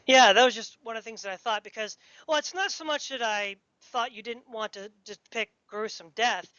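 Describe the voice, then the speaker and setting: A woman's voice: fairly high pitch, fairly bright tone, no echo. Chatty woman interviewer, dull dry audio. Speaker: podcast interviewer. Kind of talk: casual interview chat.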